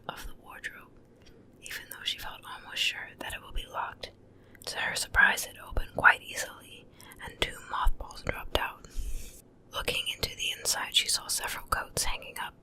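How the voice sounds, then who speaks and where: A woman reads a bedtime story in a soft, breathy ASMR whisper, hushed and gentle, close to the microphone from ear to ear. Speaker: ASMR reader. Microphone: close ear-to-ear stereo microphone.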